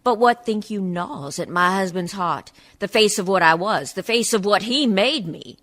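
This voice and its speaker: A woman playing a bitter, wronged wife speaking from the grave in a measured radio play monologue. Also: mid-range voice, animated, a bit bright. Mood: vengeful.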